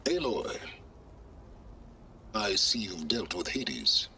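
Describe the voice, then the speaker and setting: Pompous scholar, very bright forceful voice. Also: male voice, low voice, very animated, slight background noise, heavily acted. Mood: smug. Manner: pompous, condescending, deliberate phrasing. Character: arrogant, self-important, scheming older male scholar. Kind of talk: video game cutscene acting.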